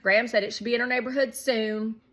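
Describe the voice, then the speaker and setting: A woman voicing an eager, excitable, boastful young boy in a children's storybook. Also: on the high side, fast talker, voice pushed up. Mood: excited, eager. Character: Shake, eager loud young visiting boy.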